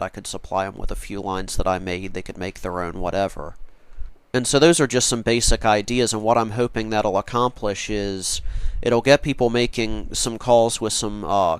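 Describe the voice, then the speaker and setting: A man's voice: fairly low pitch, clean tone, dry recording. A congested man explaining his plans for an online event in a loose, rambling way, often restarting his sentences. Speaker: organizer of an online prank call event. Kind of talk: casual spoken explanation.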